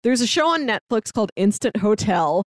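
A woman's voice: medium pitch, animated, a little fast, no echo, clean studio sound. A woman chatting casually as a podcast co-host, conversational and peppering her sentences with "like". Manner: chatty, conversational, heavy use of "like". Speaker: podcast co-host giving recommendations. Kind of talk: casual film discussion chat.

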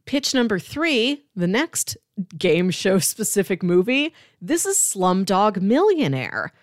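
A woman co-host talks in an informal, conversational style with lively, swinging intonation. Her pitch sits in a middle range for a woman and moves around a lot. The sound is dry, with hardly any room on it. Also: slow, dry recording. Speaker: podcast co-host. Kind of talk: casual chat, pitching movies.

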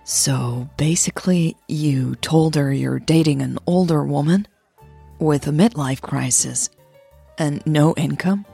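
A woman plays an earnest, self-doubting girlfriend in an audio romance. Her voice sits low and is very husky, with some fry. She sounds hesitant and worried about herself. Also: takes it slowly, warm tone, soft background music, full character voice. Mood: embarrassed, wry. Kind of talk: audio drama romance character dialogue.

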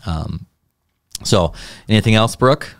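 A man with a very low, deep voice hosts a casual podcast. He talks in a loose, easygoing way, full of ums and restarts. The sound is dry and close. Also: animated, slow pace, creaky, no echo. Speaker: podcast host. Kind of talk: casual company podcast chat.

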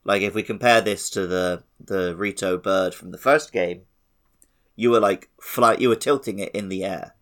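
A man's voice: deep voice, moderate pace, a bit bright. A man hosting a podcast, talking casually about video games in a conversational way. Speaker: podcast host. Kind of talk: casual gaming podcast chat.

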